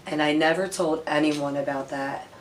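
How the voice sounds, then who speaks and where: A woman's voice: low-pitched, steady tone, normal speed, a little hiss or hum, low-quality recording. A woman telling personal stories casually as a vlog host, in a candid, rambling, emphatic way with some swearing. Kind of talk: casual personal storytelling to camera.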